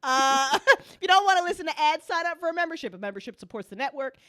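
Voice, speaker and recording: A woman speaks as host and creator in a casual after-show chat. Her delivery is quick and her tone bright and forward, with a close, dry sound. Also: high voice, sing-song, dry recording. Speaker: audio drama network host and creator.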